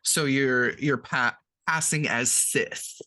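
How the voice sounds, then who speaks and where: A man's voice: medium pitch, fairly even intonation, slow pace, pushed and bright, dry recording. A man co-hosting a podcast, explaining terms in casual chat with frequent ums and restarts.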